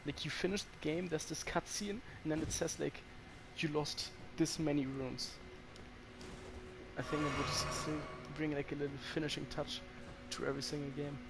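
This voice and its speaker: Gravelly man with a German accent. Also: fairly high pitch, fairly even intonation, moderate pace, noisy background. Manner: chatty, swears when frustrated, talks to chat while playing. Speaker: streamer. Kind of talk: casual gameplay commentary to chat.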